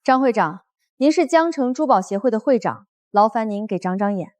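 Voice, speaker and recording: A woman's voice: on the high side, lively intonation, fairly quick, a little mellow, no room sound, close to an everyday voice. An actress playing an imperious, protective wealthy woman in a short drama. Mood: cool, commanding. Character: imperious, protective wealthy woman taking a wronged daughter's side. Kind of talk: Chinese short drama acting.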